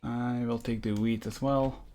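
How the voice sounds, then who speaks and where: A man's voice: medium pitch, fairly even intonation, measured pace, dark tone. A man chatting casually as he livestreams a game, with a dry, wry manner. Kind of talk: casual chat while gaming. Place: room in a shared house. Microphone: voice chat on push to talk. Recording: clear background noise, clicks.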